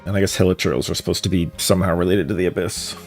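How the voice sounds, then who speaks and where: A low-voiced man streams a game with a creaky edge to his speech. He talks casually in wry, musing asides while game music plays softly behind him. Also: steady tone, very fast. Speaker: live streamer.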